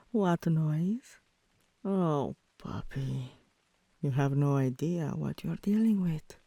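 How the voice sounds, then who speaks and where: A woman voicing a teasing, softly dominant tomboy girlfriend in an intimate ASMR roleplay, with a low voice that turns breathy at times and a coaxing, commanding manner. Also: lively intonation, drawn-out words, long pauses, dry recording, heavily acted.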